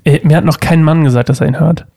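A man's voice: fairly high pitch, steady tone, fast talker, creaky, mellow, dry recording. A young man co-hosting a casual podcast with his wife, joking and rambling while he has a cold and a stuffy nose. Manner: joking, rambling, self-interrupting. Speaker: podcast co-host. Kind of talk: casual couple chat.

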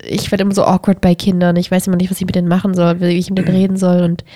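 A young woman chats casually with her husband on their podcast, teasing him and laughing often. Her voice is mellow and warm. The recording is close and dry. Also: lowish voice, monotone, very fast, no echo. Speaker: podcast co-host. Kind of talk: casual couple chat.

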